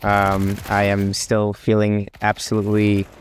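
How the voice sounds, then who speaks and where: A man's voice: lowish voice, monotone, a little slow, clear, resonant tone. A young man with a congested voice from a cold, rambling casually about anime with many ums and restarts. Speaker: vlogger. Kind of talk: casual anime talk vlog.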